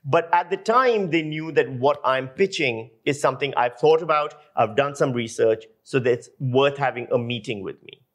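Male interview guest, journalist and lecturer from Pakistan. Mid-pitched voice, emphatic, anecdotal explaining. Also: moderate pace, few pauses.

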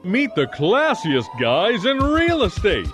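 A man's voice: high voice, wildly swooping pitch, takes it slowly. A man reading an upbeat, showy radio promo. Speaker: promo announcer. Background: music bed.

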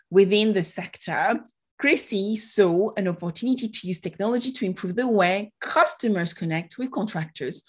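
A woman hosts an interview podcast with a voice of medium pitch. She delivers prepared introductions and open questions to her guest.

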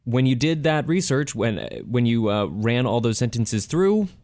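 A man's voice: medium pitch, expressive, a little slow, darkish tone. A man hosting a radio interview show, speaking in a conversational, emphatic presenter style. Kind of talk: scripted radio introduction and interview.

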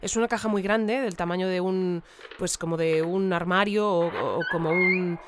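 A woman's voice: lowish voice, fairly even intonation, normal speed, slight background noise, natural voice. An actress playing a female reporter in an audio drama, improvising live commentary and searching for words. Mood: hesitant, descriptive. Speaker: broadcast reporter.

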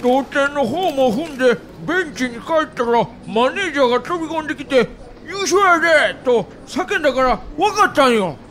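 Actor as bright, high-voiced Kansai baseball man. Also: animated, brisk, slight background noise, exaggerated voice. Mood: excited recollection. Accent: Kansai Japanese. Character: male baseball players and manager recalling a championship season. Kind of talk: acted quotes in an audio documentary.

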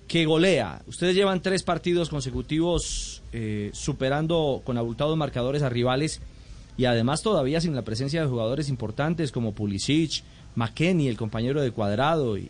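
A man's voice: fairly high pitch, animated, moderate pace. A man co-hosting a sports radio show, asking chatty questions.

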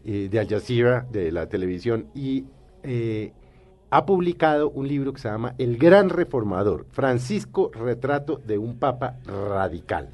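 A man's voice: mid-range voice, expressive, unhurried, darkish tone. Hesitant male interview host. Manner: hesitant introductions, eager follow-up questions.

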